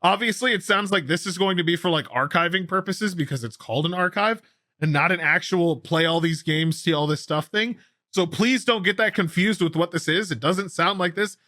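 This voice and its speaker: A man with a fairly high, bright voice hosts gaming news in a dry-sounding recording. He talks at length and informally, explaining each item as casual commentary. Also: brisk, dry recording. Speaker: host of a gaming news show.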